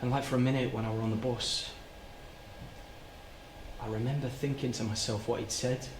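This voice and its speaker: An actor playing an anguished, guilt-ridden working-class man in a halting, plain-spoken theatrical monologue. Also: medium pitch, steady tone, natural voice. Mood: uneasy recollection. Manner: halting, plain-spoken, repeats and stumbles over words. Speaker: stage actor. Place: indoor soundstage. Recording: roomy, noisy soundstage livestream.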